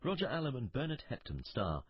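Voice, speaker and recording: A man's voice: medium pitch, wildly swooping pitch, fairly quick, husky. A man giving a scripted broadcast introduction as a radio continuity announcer. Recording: dull lo-fi radio recording.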